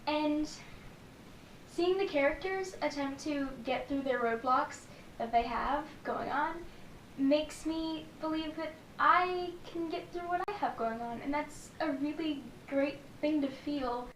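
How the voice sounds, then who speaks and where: A woman's voice: slow pace, fairly bright tone. A woman giving a casual, heartfelt personal message as a fan. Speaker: podcast fan giving a testimonial. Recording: echoey room, faint background rumble.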